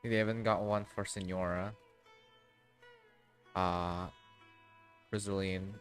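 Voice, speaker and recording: Male game streamer, lowish voice, unhurried and rambling casual commentary over clear background music. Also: flat delivery. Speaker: game livestreamer.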